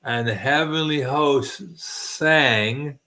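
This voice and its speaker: A man's voice: on the high side, drawn-out words, sharp tone. A middle-aged man hosting a casual late-night chat, rambling with many restarts and self-deprecating jokes. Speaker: host.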